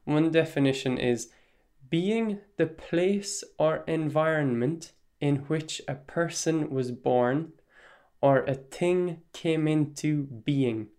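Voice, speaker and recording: An Irish man hosting a solo podcast, explaining his views in a measured, even-tempered way. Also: fairly high pitch. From Ireland. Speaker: podcast host.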